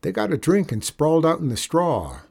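Cocky Western storybook kid, played by adult male narrator: raised pitch, bold, folksy, always daring someone. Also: wildly swooping pitch, moderate pace, creaky, a little mellow, husky, full character voice. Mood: unbothered, challenging. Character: plucky, cocky small boy in the Old West.

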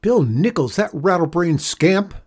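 A man voicing a frightened young mother, raising his voice into a shrill, urgent cry. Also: huge pitch swings, a little fast, dark tone. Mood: alarmed. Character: frightened young mother searching for her son.

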